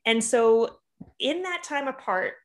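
A woman's voice: fairly high pitch, lively intonation, takes it slowly. A woman from Boston co-hosting a podcast as a dietitian. She chats in an upbeat, eager way and pokes fun at herself. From Boston, USA. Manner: upbeat, eager, self-deprecating. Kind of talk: casual podcast chat.

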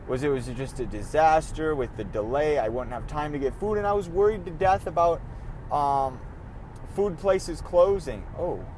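Tired, raspy man rambling in a car. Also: on the high side, low-quality recording. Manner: rambling, animated, self-interrupting. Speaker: podcast host. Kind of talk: casual solo podcast while driving. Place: in a car, driving outdoors. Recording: faint road noise in a car. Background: car and street noise.

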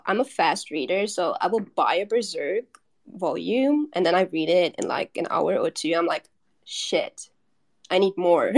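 A woman's voice: lowish voice, vocal fry. A young woman from Germany chatting casually as a podcast guest, giggly and full of fillers. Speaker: artist guest.

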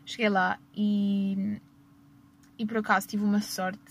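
A woman's voice: mid-range voice, flat delivery, slow. Chatty Portuguese woman podcasting from her car. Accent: European Portuguese. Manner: chatty, self-correcting, laughs often. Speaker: podcast host. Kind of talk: casual personal storytelling. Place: parked car.

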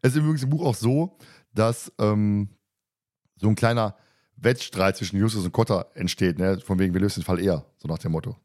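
A man's voice: lowish voice, a little fast, darkish tone. A man co-hosting a casual discussion, talking in a colloquial, teasing way. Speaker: podcast co-host. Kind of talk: casual discussion of an audio drama.

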